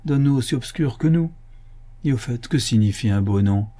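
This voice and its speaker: A man's voice: mid-range voice, animated, a little fast, mellow, lightly acted. Man acting a humble, plaintive family father. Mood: whining, resigned. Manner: plaintive, deferential, earnest. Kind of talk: audiobook character acting.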